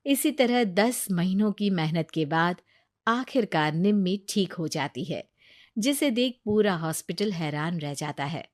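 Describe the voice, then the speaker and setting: A woman tells a moral story at a steady, even storytelling pace, her voice at an ordinary middle pitch. The recording is dry and close, with no room sound. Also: measured pace, no echo. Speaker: narrator. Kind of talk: scripted story narration.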